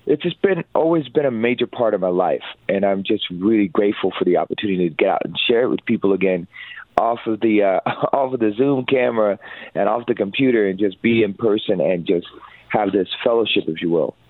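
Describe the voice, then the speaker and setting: Musician guest, man in his 30s from Hawaii, earnest and upbeat, lower voice, dry tinny phone line. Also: fairly quick, dry recording. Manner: earnest, upbeat, lots of fillers. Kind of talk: casual interview.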